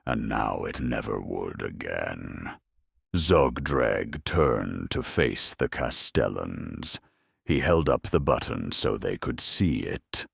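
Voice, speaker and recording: A man narrating a grimdark war story in a grave, measured, dramatic way with wry deadpan humour. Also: very deep voice, creaky, husky, dry recording, studio quality.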